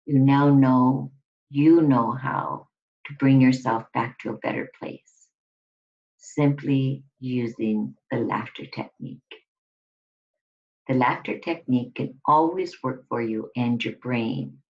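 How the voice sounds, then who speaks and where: Slow, low-voiced woman guiding relaxation. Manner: deliberate, instructive, with pauses between short phrases. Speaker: guided relaxation instructor. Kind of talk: guided relaxation exercise, scripted instruction. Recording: dull lo-fi recording.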